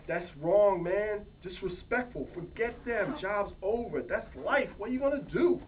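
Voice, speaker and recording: A man's voice: high-pitched, animated, unhurried. A man voicing a big, blunt, weary laid-off buddy in a slangy, scolding way during a novel reading. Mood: disapproving. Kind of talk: novel read aloud. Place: bookstore reading. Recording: distant mic, faint background noise.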